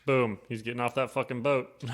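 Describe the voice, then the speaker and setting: A man's voice: mid-range voice, flat delivery, normal speed. A man co-hosting an informal tabletop roleplaying podcast, talking bluntly with dry humour. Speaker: podcast co-host. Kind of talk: casual chat about tabletop roleplaying.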